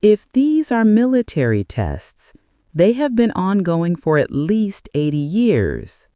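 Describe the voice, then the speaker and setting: A man narrating a scripted bedtime mystery story in a measured, deliberate way with dramatic pauses. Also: high-pitched, huge pitch swings, dark tone, clear, resonant tone, no room sound, studio quality.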